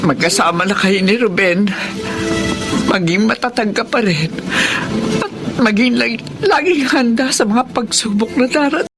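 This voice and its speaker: A woman's voice: on the high side, lively intonation, a little slow, long pauses. Caring older mother, over music. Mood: tender advice. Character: caring older mother advising her grown daughter. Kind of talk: radio drama acting.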